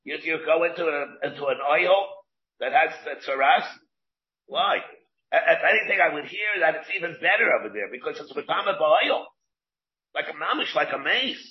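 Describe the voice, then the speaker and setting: A man teaching a Talmud class as a rabbi, talking in halting restarts and asking questions aloud. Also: on the high side, lively intonation. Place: classroom with students. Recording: muffled lo-fi classroom recording.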